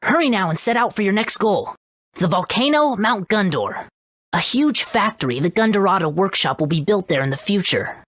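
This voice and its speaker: An actress voicing a commanding rebel ally in a video game, emphatic and directive as the character gives orders. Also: female voice, mid-range voice, lively intonation, moderate pace, a bit bright, dry recording. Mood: urgent, commanding.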